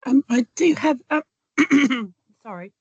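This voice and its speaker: Hoarse woman asking questions, muffled audio. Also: sits high, lively intonation, takes it slowly, dry recording. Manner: hesitant, clears throat. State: losing her voice. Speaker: meeting attendee asking questions. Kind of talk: question in a work meeting.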